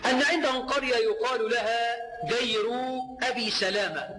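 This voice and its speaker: A man preaching in a played clip. He cites his sources in an excited, rushed voice and repeats his phrases. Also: extremely high pitch, slight background noise. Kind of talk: rapid preaching, citing sources.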